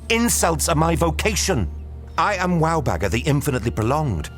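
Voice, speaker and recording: A pompous, immortal alien, declaims with haughty disdain in a high, lively voice. It is broad sci-fi radio comedy, with music underneath. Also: male voice, normal speed. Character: haughty immortal alien who insults for a living. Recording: music behind the voice.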